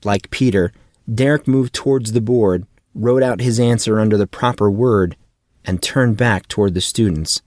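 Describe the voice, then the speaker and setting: A man's voice: fairly low pitch, moderate pace, a little mellow, dry recording, clean studio sound. A man reading fiction aloud as a scripted audiobook narrator.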